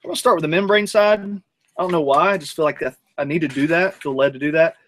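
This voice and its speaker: A man hosts his grilling show outdoors, rambling and cracking jokes between tasks. His voice sits a little high. He chats to the camera while working over the grill. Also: steady tone, fast talker, a bit bright, a little hiss or hum. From North Georgia, USA. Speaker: cooking show host. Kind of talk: casual cooking vlog.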